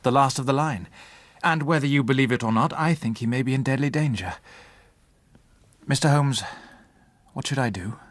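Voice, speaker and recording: An actor playing an anxious, earnest gentleman seeking help in a radio drama, speaking with urgency. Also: medium pitch, moderate pace, pauses often, dry recording, close to an everyday voice. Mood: anxious, urgent. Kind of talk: British radio drama acting.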